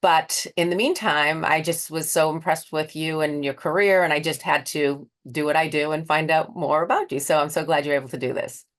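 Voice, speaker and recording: Low-voiced American woman hosting an interview. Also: fairly even intonation, fairly quick, hardly any pauses. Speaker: interview host. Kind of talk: conversational interview.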